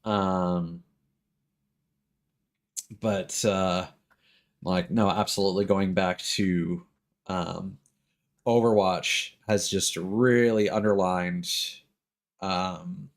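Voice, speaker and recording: Guy w a lowish voice just rambling on stream, exasperated gamer griping, swears a bit. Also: fairly even intonation, drawn-out words, gravelly, pauses often. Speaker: game streamer. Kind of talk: casual rambling live-stream commentary.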